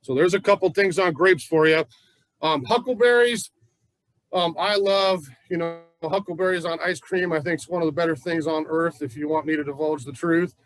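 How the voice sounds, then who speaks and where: A man's voice: on the high side, moderate pace, low-quality recording. A man from the Pacific Northwest giving a chatty, folksy gardening class at a plant nursery. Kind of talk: casual gardening class talk.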